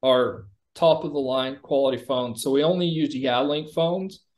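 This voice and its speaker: A man's voice: medium pitch, moderate pace, a little mellow. A man giving a conversational product demo as a company executive, explaining things informally.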